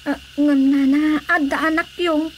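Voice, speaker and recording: An actress playing an earnest, polite young daughter in a radio drama, pleading and deferential. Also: female voice, sits high, steady tone, measured pace, slight background noise.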